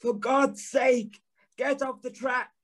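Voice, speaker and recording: A man playing a troubled, earnest gentleman in an audio play, speaking in a deliberate, dramatic manner. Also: very high-pitched, little pitch movement. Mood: panicked. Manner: deliberate, dramatic, halting repetitions. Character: troubled gentleman narrator, earnest, haunted by a nightmare.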